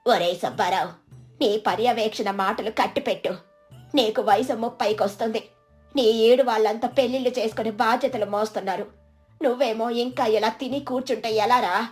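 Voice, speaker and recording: Woman as a worried, scolding village mother, highish voice. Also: steady tone, moderate pace, darkish tone, faint music behind, close to an everyday voice. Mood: exasperated. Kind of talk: animated moral story dialogue.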